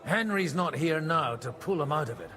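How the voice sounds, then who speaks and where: Lively, wry medieval priest. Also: male voice, a little high, moderate pace. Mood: wry, gossipy. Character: shrewd, worldly medieval priest, a scheming negotiator. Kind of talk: dramatic video game voice acting.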